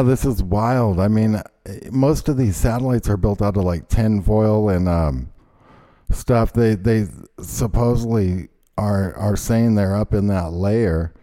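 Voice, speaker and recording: A man with a low, mellow voice chats on a podcast. He drawls through his points and leans on fillers as he goes. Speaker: podcast co-host. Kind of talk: casual conspiracy talk.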